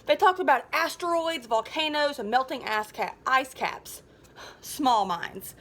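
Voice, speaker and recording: A woman's voice: high voice, measured pace, fairly bright tone. A woman reading aloud as a sour, resentful middle-school boy, a dethroned bully, narrating a children's novel with sarcastic grumbling. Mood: scornful. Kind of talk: first-person children's novel read aloud.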